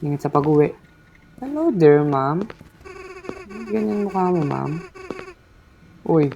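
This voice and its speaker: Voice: medium pitch, expressive, measured pace, creaky, mellow, dull audio. A gamer with a Filipino accent, casually commentating a horror game and teasing the characters in mixed Tagalog and English. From Philippines.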